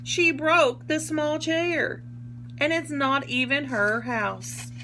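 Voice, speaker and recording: A woman reading a picture book aloud to young children like a teacher, expressively, pausing to explain words in simple terms. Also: sits high, bright, forceful tone, slight background noise, low-quality recording.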